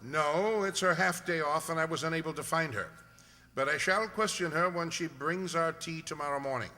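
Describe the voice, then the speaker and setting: Man acting gentleman detective, inquisitive, precise, crisp bright edge, radio drama, long reverb. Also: on the high side, moderate pace. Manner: precise, formal, commanding. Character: brisk, inquisitive gentleman detective, composed and candid. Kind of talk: radio mystery play acting. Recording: reverberant hall-like sound.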